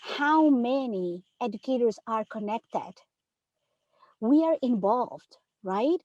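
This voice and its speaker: A woman from Peru who teaches third grade speaks earnestly on a panel, pressing her points with rhetorical questions. Her voice sits a little high. The sound is dry and close. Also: animated, slow pace, a little mellow, dry recording, lo-fi sound. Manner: earnest, emphatic, many rhetorical "right?". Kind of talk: panel discussion.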